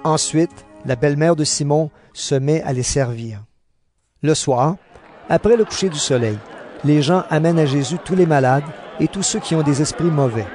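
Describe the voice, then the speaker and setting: A man reading scripted narration for a dramatized audio Bible. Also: on the high side. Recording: narration over clear background music.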